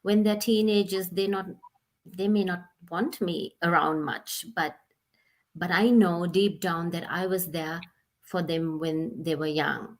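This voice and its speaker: Woman, 40s, from Sri Lanka, guest on a video call; low, slow, easygoing storytelling with fillers. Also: steady tone. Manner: unhurried, many "um"s, laughs often. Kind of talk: casual video call interview.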